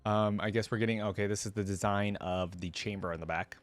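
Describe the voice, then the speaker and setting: A man's voice: fairly low pitch, steady tone, fairly quick, dry recording. A man hosting a reaction video, talking casually and directly to viewers in a conversational way. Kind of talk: casual reaction commentary with read-aloud story passages.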